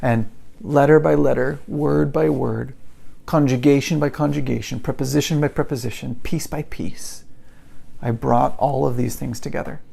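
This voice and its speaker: A man's voice: mid-range voice, steady tone, normal speed, creaky, dark tone, breathy edge. A middle-aged man, an English teacher, telling his learners a personal story with an emphatic, repetitive cadence.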